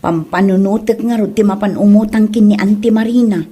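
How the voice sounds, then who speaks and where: A woman's voice: mid-range voice, steady tone, fairly quick, dark tone, husky, natural voice. A woman playing a bossy, scheming married woman in a comedy drama, with an emphatic, scolding way of talking. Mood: worried. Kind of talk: comedy drama voice acting in Ilocano.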